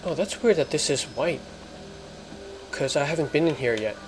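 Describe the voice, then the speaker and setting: A man giving casual, halting gameplay commentary as a let's player. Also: fairly high pitch, lively intonation, a little hiss or hum, low-quality recording.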